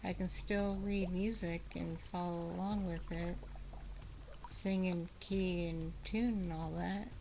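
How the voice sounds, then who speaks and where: A woman chatting casually in a soft, confiding ASMR livestream style, close on a binaural microphone. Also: on the low side, steady tone, slow pace, a little hiss or hum.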